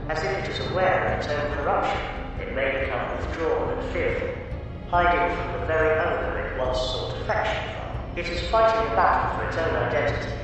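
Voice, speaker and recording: A man's slightly high voice rings out with heavy echo from some distance. He delivers a mock official horror broadcast in solemn, formal, measured sentences, like instructions to the public. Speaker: narrator. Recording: echoey hall reverb, distant, over music. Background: music.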